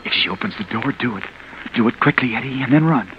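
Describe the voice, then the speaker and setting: A young actor in a 1940s radio drama playing a frightened fugitive who narrates in a tense, hushed voice, heard through a muffled old broadcast with loud hiss. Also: male voice, medium pitch, lively intonation, fast talker, lightly acted. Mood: whispering. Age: young adult. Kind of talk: 1940s radio acting, first-person narration.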